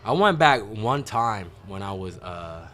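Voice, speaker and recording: A man's voice: on the low side, sing-song, measured pace, a bit bright, faint music behind. A man in his twenties from Vietnam, an English speaker, giving short plain answers as a podcast guest in slightly broken English. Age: 20s. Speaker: guest powerlifter and gym owner. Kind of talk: casual podcast chat.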